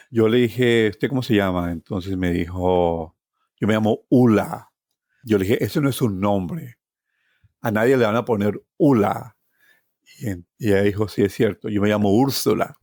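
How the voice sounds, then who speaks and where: A man's voice: fairly low pitch, a little mellow, dry recording, studio quality. A middle-aged man with a Colombian accent telling personal stories, with emphatic repetition and conversational tag questions. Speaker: interview guest, tour guide. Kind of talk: personal storytelling.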